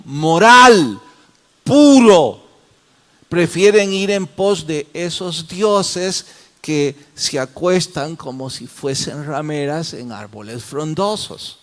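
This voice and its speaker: A man's voice: on the high side, a bit bright. A man leading a Bible study as pastor, questioning the class and calling on students by name. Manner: slow, deliberate explanations.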